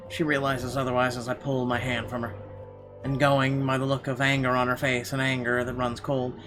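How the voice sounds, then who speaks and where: A man hosting a gaming video, reading visual novel narration aloud and adding his own comments. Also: medium pitch. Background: game music.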